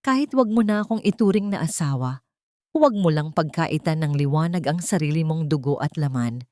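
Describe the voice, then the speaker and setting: A woman's voice: sits low, expressive, fairly quick, a little mellow, no echo, studio quality. A woman narrator voicing a desperate, betrayed mother who pleads in anguish in an audio drama. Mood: desperate pleading.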